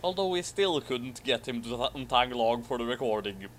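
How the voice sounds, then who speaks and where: A man's voice: medium pitch, expressive, a little fast, a bit bright, slight background noise. A man co-hosting a casual commentary, reading a story aloud theatrically and adding dry, sarcastic asides.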